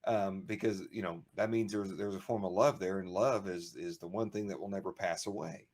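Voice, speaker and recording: A man's voice: deep voice, a little fast. A man co-hosting a casual show, talking in rambling bursts with lots of restarts. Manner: rambling, animated, frequent restarts and laughs. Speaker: podcast co-host. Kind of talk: casual chat.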